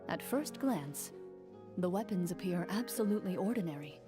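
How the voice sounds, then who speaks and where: A woman's voice: on the low side, animated, normal speed, lightly acted. A woman voicing a solemn storyteller who narrates a video game quest. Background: game music.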